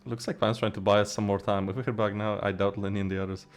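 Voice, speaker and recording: A man giving casual gameplay commentary as a streamer, rambling and theorizing excitedly, swearing now and then. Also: on the low side, quick pace, soft background music. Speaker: game streamer.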